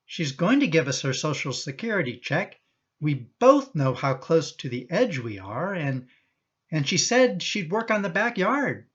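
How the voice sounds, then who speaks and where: A man's voice: sits high, dull audio, heavily acted. A man acting in a radio play as a sheepish, evasive husband and father who cajoles hesitantly.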